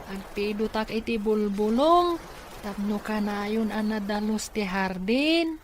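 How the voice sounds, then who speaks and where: Unhurried, curious young relative voiced by a woman. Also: mid-range voice, lively intonation, a little hiss or hum, close to an everyday voice. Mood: curious, helpful. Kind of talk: Ilocano radio drama acting.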